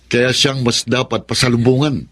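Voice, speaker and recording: A partial, proud father, played by a man in a radio drama. His voice is mid-pitched and husky, with a conversational delivery. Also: flat delivery, normal speed, fairly bright tone, close to an everyday voice. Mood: dismissive. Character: father doting on one son, cold to the other. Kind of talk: Tagalog radio drama acting.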